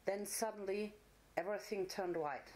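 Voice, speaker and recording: Female narrator, medium pitch, for dramatic fantasy storytelling. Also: normal speed, a little mellow, low-quality recording.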